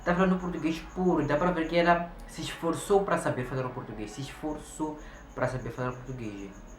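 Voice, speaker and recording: A man from Mozambique hosts a reaction video with emphatic, repetitive commentary. His voice sounds in an echoey room with steady noise behind it. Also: a little high, fairly quick. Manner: repetitive, emphatic, keeps saying gente.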